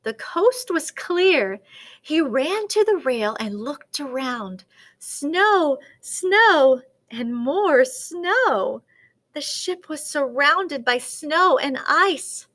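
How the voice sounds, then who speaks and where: A woman reading a children's picture book aloud as a storyteller, with dramatic, deliberate expression. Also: high-pitched, sing-song, pushed and bright.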